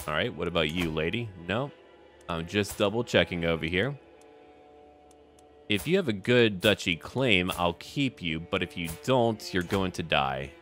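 Male gaming host, low register, very varied intonation, offhand delivery, talks to the on-screen characters. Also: fairly bright tone. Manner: talks aloud to game characters. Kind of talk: casual gameplay commentary.

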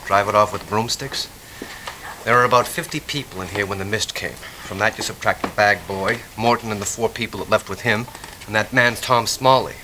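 A man's voice: fairly low pitch, brisk, noisy background, natural voice. A man acting in an audio drama as a practical, calculating survivor, methodical, matter-of-fact and wry. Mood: calculating, urgent. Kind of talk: naturalistic radio drama acting.

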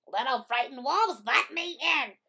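A woman's voice: high-pitched, lively intonation, sharp tone, pitched above the performer's own voice, exaggerated voice. A woman putting on a big bad menacing pig. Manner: slow drawn-out threats.

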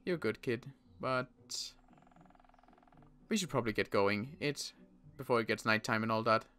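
A young man playing a weary survivor in a Minecraft roleplay, talking in halting bursts with sighs and restarts. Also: medium pitch, sharp tone, clean tone. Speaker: roleplay player and channel host.

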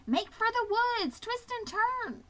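Panicky bossy goose for a kids book, super high n sharp, keeps repeating orders. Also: female voice, sing-song, takes it slowly, heavily acted. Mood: urgent, commanding. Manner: urgent honking commands, repeating phrases. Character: bossy, alarmed female farmyard goose. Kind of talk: animated children's storybook reading.

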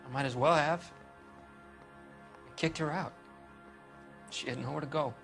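Game role: young American male suspect, blue-collar, pleading and anxious, slightly high pitch. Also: a little slow, vocal fry, fairly bright tone, faint music behind. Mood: rueful, hesitant. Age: young adult. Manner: hesitant, pleading, defensive. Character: anxious, defensive young working-class male murder suspect. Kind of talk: dramatic video game acting.

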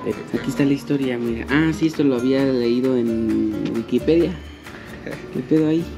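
Slangy Mexican man vlogging over loud music. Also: medium pitch, brisk. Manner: informal, heavy street slang. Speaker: motovlog host. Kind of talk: casual vlog chat, slangy.

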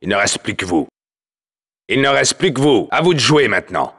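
An actor playing a tough soldier who announces a military game in a terse, commanding way. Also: male voice, medium pitch, big pitch swings, normal speed, fairly bright tone, husky, exaggerated voice. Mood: stern. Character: tough male soldier calling out battle orders.

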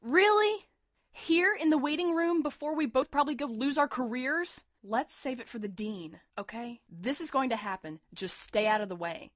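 A slightly high-voiced, sardonic young woman played by an actress in an audio drama, a defiant and protective med student. She talks bluntly and with intensity, recorded close and dry. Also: moderate pace, dry recording, lightly acted. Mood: tense. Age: young adult. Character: defiant, sarcastic, fiercely protective young female medical student.

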